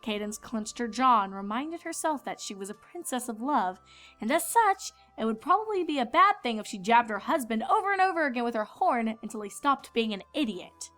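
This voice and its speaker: Woman, high voice, story narrator, scripted reading, faint music behind. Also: very animated, fairly quick, fairly bright tone.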